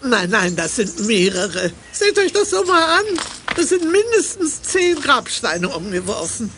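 An actress playing a distressed widow in a German children's audio drama, with a plaintive, emotional delivery. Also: female voice, fairly high pitch, very animated, measured pace, background noise. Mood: upset, lamenting. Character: distressed widow lamenting vandalised graves.

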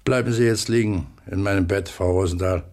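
An actor playing a stern, stubborn middle-aged father in a radio drama, terse and authoritative, with a husky, gravelly voice. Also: low voice, fairly quick, a little mellow.

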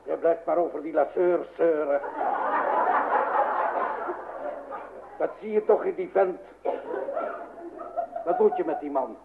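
A man's voice: fairly high pitch, normal speed, vocal fry, over a noisy crowd. An actor playing a middle-aged Dutch father who mutters and mocks sarcastically, in an old-fashioned radio comedy. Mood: scornful. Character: grumbling, mocking Dutch father, self-styled master of the house. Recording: phone-like old radio recording, loud noise.

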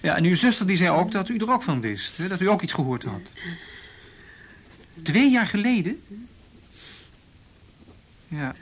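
An actor playing a careful juvenile police detective in a measured, reassuring, naturalistic radio drama manner. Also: male voice, sits high, big pitch swings, heavily acted. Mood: polite, tactful.

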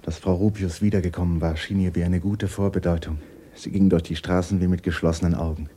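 A man narrating a literary radio drama in a solemn, measured, deliberate way. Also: low voice, fairly even intonation, mellow, a little hiss or hum, lightly acted.

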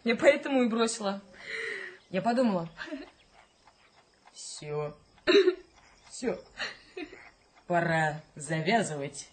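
An actress playing a wistful, romantic young pregnant woman in a radio play, chatty and slightly plaintive, with a slightly husky voice. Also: lowish voice, slow pace, long pauses. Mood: rueful. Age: young adult.

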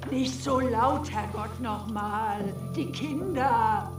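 A woman playing an aunt with a deliberate storytelling manner in a radio drama. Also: on the high side, reverberant, recorded from a distance, music in the background. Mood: hushed, firm. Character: aunt reading a fairy tale to her little nephew.

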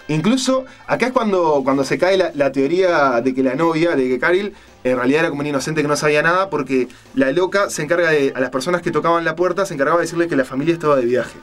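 A man with a Uruguayan accent hosting a casual radio chat, retelling stories in a halting, colloquial way and searching for words. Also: a little high. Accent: Uruguayan Spanish. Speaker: radio show host. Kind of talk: casual on-air chat, retelling a film and crime story.

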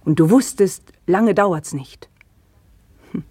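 An actress playing a troubled, brooding widow in a German radio play, speaking haltingly and intensely, often dropping toward a whisper. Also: female voice, fairly low pitch, dark tone, breathy edge, no echo. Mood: bitter, intense. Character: troubled, brooding widow Inés, estranged from her fiancé.

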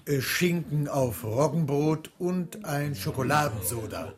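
A man's voice: on the high side, expressive, measured pace. A man playing a bigoted lunch-counter customer in a radio drama. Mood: disapproving. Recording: distant voice amid busy diner chatter.

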